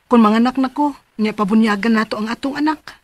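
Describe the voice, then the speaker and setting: An actress with a Cebuano Filipino accent playing a troubled, earnest young pregnant wife in a radio drama. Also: female voice, a little high, a little fast, dry recording, lightly acted. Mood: worried, insistent. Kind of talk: radio drama acting and letter narration.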